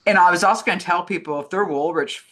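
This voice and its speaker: A woman's voice: fairly low pitch, fast talker, a bit bright. A woman co-hosting a casual, conversational discussion about films. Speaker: co-host fielding fan questions. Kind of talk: casual film chat.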